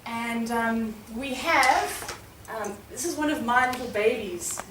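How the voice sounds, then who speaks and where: A woman hosting an informal live interview in front of an audience, with a loud, chatty way of speaking. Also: fairly high pitch, slow, reverberant, far from the mic, background noise. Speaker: event host interviewer.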